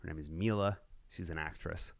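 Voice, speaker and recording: A man's voice: sits low, fairly even intonation, a little fast, creaky. A man hosting a podcast, interviewing a guest in a casual, conversational way. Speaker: podcast host.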